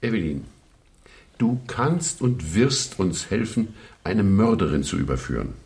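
A determined, jovial police chief in a German radio drama. His low voice creaks with gravel and rises and falls widely. He is blunt and hearty. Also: sing-song, a little slow, a little mellow. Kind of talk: 1970s German radio crime drama acting.

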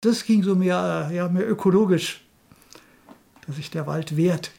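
Creaky, husky older man reminiscing. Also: high-pitched, measured pace, dark tone. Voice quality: raspy, creaky. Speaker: radio drama director. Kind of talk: reminiscing in an interview.

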